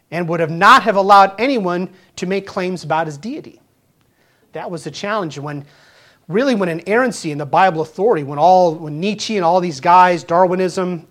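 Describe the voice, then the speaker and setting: Earnest fifty-year-old male pastor preaching. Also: sits high. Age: 50s. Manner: earnest, conversational preaching with restarts and rhetorical questions. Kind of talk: sermon.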